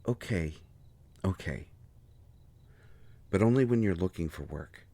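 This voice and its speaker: A man playing a devoted, anxious healer in an intimate roleplay audio drama, speaking earnestly and haltingly to a partner. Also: deep voice, lively intonation, warm tone, no room sound, heavily acted. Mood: tender, reassuring.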